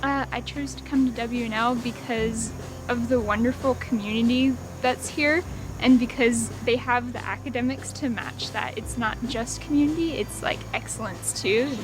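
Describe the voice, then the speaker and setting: A woman's voice: fairly high pitch, normal speed, noisy background. A young woman student from Oregon giving an upbeat, casual testimonial with a few fillers. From Oregon, USA. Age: young adult. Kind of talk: casual testimonial in a promo video. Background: music.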